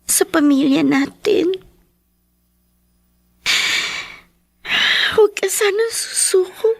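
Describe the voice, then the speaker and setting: A woman with a Filipino accent acting a devoted, dutiful teenage daughter in a Tagalog radio drama, respectful and soft-hearted in manner. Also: very high-pitched, moderate pace, gravelly, dry recording, clean studio sound. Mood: tender pleading.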